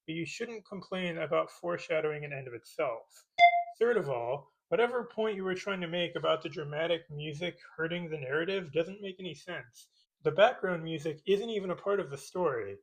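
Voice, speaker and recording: A man with a fairly high voice hosts a scripted comedic commentary. He sounds sarcastic and pedantic, picking at each point as he reads. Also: fairly bright tone, rough recording. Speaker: host of a movie-criticism parody video.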